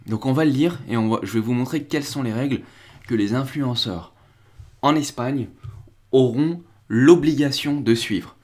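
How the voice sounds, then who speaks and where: A man's voice: mid-range voice, moderate pace, a little mellow. A young man casually commenting on crypto news during a live stream, rambling with restarts and reading from the chat. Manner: rambling, restarts and fillers, chats with viewers.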